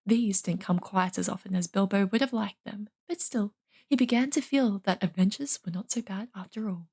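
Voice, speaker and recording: A woman narrates a fantasy storybook in an informal read-aloud style. Her voice is husky and breathy, with plenty of vocal fry. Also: medium pitch, normal speed, darkish tone. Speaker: audiobook reader, streamer.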